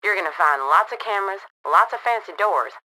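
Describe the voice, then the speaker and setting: A brash woman with a bright, folksy drawl, egging a crew of thieves on. Her tone is cocky and loud. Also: medium pitch, animated, brisk, poor audio. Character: brash Texan woman heist contact. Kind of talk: game voice lines.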